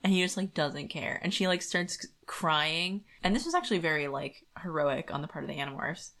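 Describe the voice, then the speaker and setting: A woman co-hosting a podcast, chatting casually about a book in an animated, colloquial way. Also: low-pitched, a little fast. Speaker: podcast co-host. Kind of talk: casual book discussion chat.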